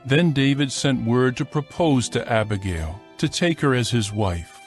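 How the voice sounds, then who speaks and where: A man narrating a dramatized scripture reading in a measured, formal, scripted style. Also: medium pitch, expressive, soft background music.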